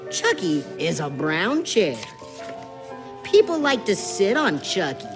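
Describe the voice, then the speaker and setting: An American actor playing a grudging, impatient schoolboy in a radio comedy, curt and sardonic. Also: male voice, high voice, huge pitch swings, slow pace, over music, full character voice. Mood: forced cheer.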